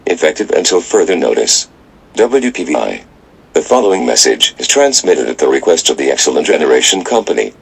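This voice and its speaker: A man's voice: low-pitched, gravelly, husky, rough recording. A man reading out a scripted civil emergency announcement.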